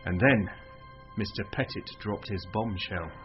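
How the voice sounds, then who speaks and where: A man's voice: lowish voice, unhurried, close to an everyday voice. An actor playing a tough young British undercover policeman in a radio drama. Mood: matter-of-fact. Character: touchy, tough young undercover special branch man. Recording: muffled, with music behind.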